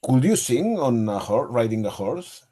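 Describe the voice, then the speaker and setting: A man's voice: mid-range voice, very animated, normal speed, mellow. A Spanish-speaking man interviewing for a music magazine in English, hesitant, with many fillers.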